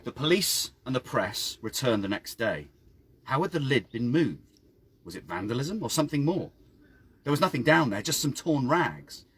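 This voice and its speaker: Measured man narrating a dark tale. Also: mid-range voice, sing-song, slightly rough. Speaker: author reading his own story. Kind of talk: dramatic scripted story narration. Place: outdoors by a town well.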